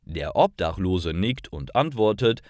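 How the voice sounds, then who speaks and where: A man reading simple stories aloud in a clear, careful narration for language learners. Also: sits low, sing-song, a little slow, darkish tone, no echo, studio quality. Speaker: storyteller for German learners. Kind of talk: scripted slow narration.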